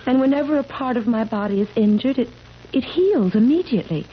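An actress playing a solemn, insistent young wife who never ages, in 1970s radio drama. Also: female voice, fairly high pitch, animated, a little fast, lightly acted. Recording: dull, noisy 1970s radio recording.

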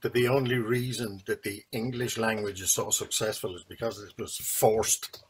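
A man's voice: on the low side, fairly even intonation, normal speed, a little hiss or hum, poor audio. A man in his sixties on a video call, speaking hesitantly and restarting often. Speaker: seminar participant. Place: room indoors.

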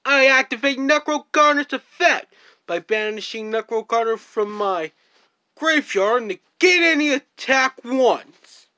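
A woman's voice: a little high, pushed and bright, no room sound. A woman in an amateur fan dub, reading card effects plainly.

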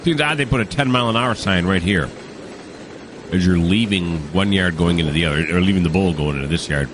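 Deep-voiced man with a cold. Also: a little fast, noisy background. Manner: thinks aloud, rambling, self-correcting. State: has a cold, voice deepened. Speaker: gaming channel host. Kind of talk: casual train simulator commentary.

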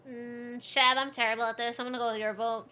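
A woman's voice: on the high side, little pitch movement, fairly quick, bright, forceful tone. A woman chatting casually as an online game player, with faint noise on the voice chat.

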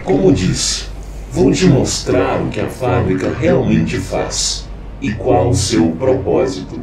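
A man's voice: fairly low pitch, big pitch swings, takes it slowly, creaky, over a noisy crowd, exaggerated voice. An actor playing a cold, scheming male villain who wants to become a god, speaking in a menacing, condescending, theatrical way. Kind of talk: audio drama acting. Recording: echoey, distant, loud score.

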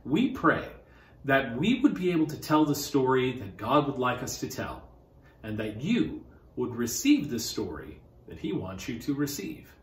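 A man's voice: medium pitch, very animated, a little slow. A middle-aged man giving a scripted introduction as a presenter. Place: indoor room at a theatre. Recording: echoey room.

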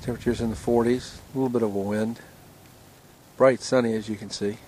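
A man with an American accent casually narrating his outing on a tidal creek, halting often with "uh", recorded outdoors on a handheld camera mic. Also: medium pitch, very noisy. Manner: relaxed, halting, many "uh" fillers.

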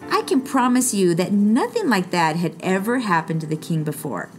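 A woman reading a children's story aloud expressively, with dramatic pauses and stretched words. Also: mid-range voice, big pitch swings, darkish tone, a little hiss or hum. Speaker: storybook reader.

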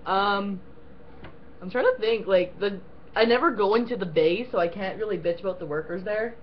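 A young woman co-hosting a casual, profane rant, animated and full of fillers like "um" and "like". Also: mid-range voice, moderate pace, highs cut off, slight background noise. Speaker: co-host. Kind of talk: casual ranting chat.

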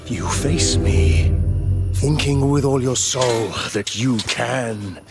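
An actor playing an arrogant, sadistic, volatile warlord in a video game, with a taunting, theatrical delivery. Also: male voice, mid-range voice, slow, gravelly. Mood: amused menace. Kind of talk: video game cutscene acting. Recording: very loud music behind the voice.

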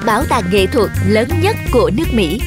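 A woman's voice: fairly high pitch, big pitch swings, fairly quick. A woman narrator reading a scripted children's fairy tale. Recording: children's music behind the voice.

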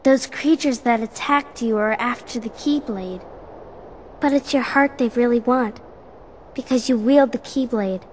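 Lady playing a cocky teen ninja girl in a game, high voice, bit of background noise. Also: a little slow, natural voice. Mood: breezy, proud. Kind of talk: video game cutscene acting.

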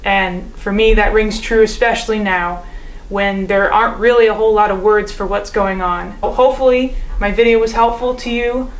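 A young woman calmly and patiently explaining a percussion technique, recorded at home by a camera a few feet away. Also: medium pitch, normal speed, reverberant, low-quality recording. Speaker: percussion teacher. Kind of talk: instructional demonstration. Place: living room at home.

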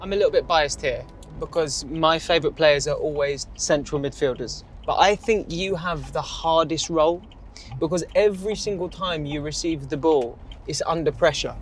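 A man's voice: a little high, normal speed, a little hiss or hum. Upbeat man interviewing from the driver's seat. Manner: upbeat, teasing, animated. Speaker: host. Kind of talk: casual interview. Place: inside a car, driving.

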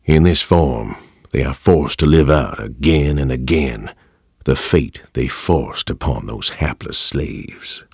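A man voicing a grave, bookish narrator reading a horror game's monster journal in measured, formal, ominous phrasing. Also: rumbling low, slightly rough, exaggerated voice.

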